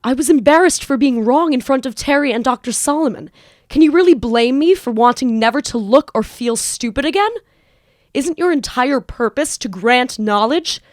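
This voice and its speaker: A woman's voice: high voice, animated, normal speed, dry recording, clean studio sound. A woman acting an anxious, defensive young student magician in an amateur audio drama, earnest and self-pitying. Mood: hurt, defensive.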